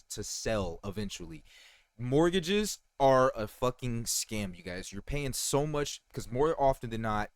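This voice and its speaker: A man's voice: medium pitch, no echo. A man in his thirties giving casual, rambling reaction commentary, full of fillers and swearing and talking straight to his viewers. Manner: keeps saying "you guys" to viewers. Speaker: reaction video host.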